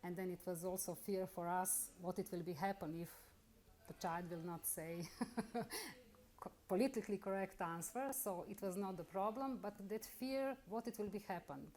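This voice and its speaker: A middle-aged woman with a Bosnian accent, carefully telling her own story in halting English during an interview. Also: lowish voice, darkish tone. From Bosnia and Herzegovina. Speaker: interviewee, lawyer. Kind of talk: personal account in an interview.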